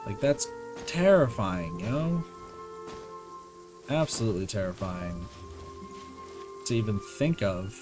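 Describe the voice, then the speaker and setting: A man's voice: lowish voice, expressive, slow, pauses often. A young man giving casual game stream commentary, slangy and repetitive, with casual swearing. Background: game music.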